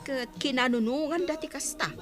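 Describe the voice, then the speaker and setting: A woman's voice: sits high, normal speed, lightly acted. A woman playing a village official in an audio drama, gossiping in a reasonable, resigned way. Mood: resigned chatting. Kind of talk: Ilocano radio-style audio drama acting. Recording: music bed behind the voice.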